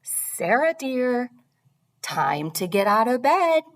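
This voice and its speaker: A woman voicing a patient but firm father in a picture book, coaxing with deliberate emphasis. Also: on the high side, sing-song, voice pushed up.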